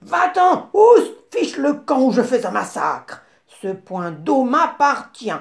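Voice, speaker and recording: A woman voicing a furious, greedy male baboon who snarls and shouts threats in a storybook tale. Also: sits high, sing-song, slow, gravelly, pitched above the performer's own voice, heavily acted.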